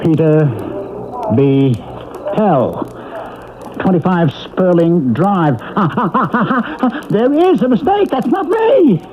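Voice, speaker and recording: Indignant, high-voiced suburban husband. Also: male voice, sing-song, drawn-out words, heavily acted. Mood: insistent. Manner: emphatic, protesting, argumentative. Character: indignant, stubborn suburban husband insisting he is not dead. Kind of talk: radio drama acting. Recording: muffled, noisy vintage radio broadcast.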